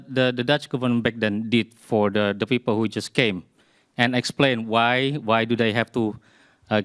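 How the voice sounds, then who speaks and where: Hesitant man with a smooth voice. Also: medium pitch, a bit bright, no echo, studio quality. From Indonesia. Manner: halting, many fillers and restarts. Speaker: audience member asking a question. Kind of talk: hesitant spontaneous remarks. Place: public debate hall.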